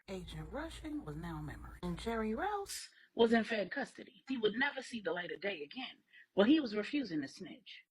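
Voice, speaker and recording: A woman's voice: a little high, fairly bright tone, slightly rough, poor audio. A woman storyteller reading a scripted crime drama story as narrator.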